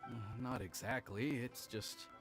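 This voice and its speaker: A man's voice: medium pitch, measured pace, over music, close to an everyday voice. An actor playing an earnest, anxious young male retainer in a video game, formal and hesitant. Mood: troubled.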